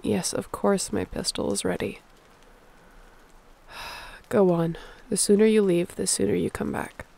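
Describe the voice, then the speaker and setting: A woman's voice: medium pitch, fairly even intonation, a little slow, gravelly, warm tone. A woman playing a sick, stubborn survivor of a zombie apocalypse in an audio roleplay, speaking in a weary, conversational way. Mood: uneasy, cautious. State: feverish, under the weather.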